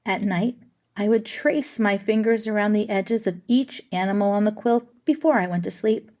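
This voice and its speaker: A woman's voice: medium pitch, moderate pace, a little mellow. Woman reading a storybook aloud. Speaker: reader of a children's picture book.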